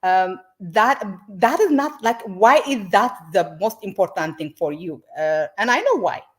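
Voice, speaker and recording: A woman from Ethiopia, hosting a confrontational interview and asking pointed, accusing questions between many halting fillers. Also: medium pitch, lively intonation, a bit bright. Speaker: host.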